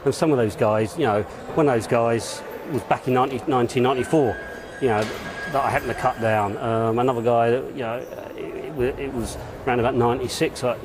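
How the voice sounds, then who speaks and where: A man's voice: medium pitch, fairly even intonation, fairly quick, background noise. A man, a prison officer, speaking in a reflective, hesitant way with many fillers. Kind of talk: interview answers.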